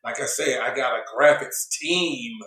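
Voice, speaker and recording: A man's voice: mid-range voice, fairly even intonation, unhurried, sharp tone, reverberant. A man hosting a casual online talk show in a laid-back, slangy way, saying he is losing his voice. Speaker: show host.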